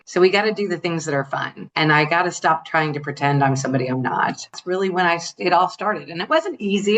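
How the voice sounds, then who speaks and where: Podcast guest, female, middle-aged. Somewhat low pitch, encouraging and emphatic delivery in a conversational interview. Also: a bit bright. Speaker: podcast guest, entrepreneur and speaker.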